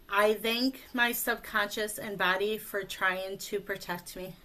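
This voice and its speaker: Slow, calm woman coach. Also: medium pitch, low-quality recording. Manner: slow, calm, leads phrases for repetition. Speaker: speech coach. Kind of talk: guided tapping session. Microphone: video call.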